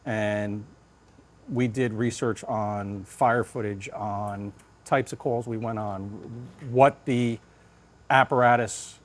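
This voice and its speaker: Deliberate man in a conversational interview. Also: on the low side, fairly even intonation, slight background noise. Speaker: fire lieutenant being interviewed.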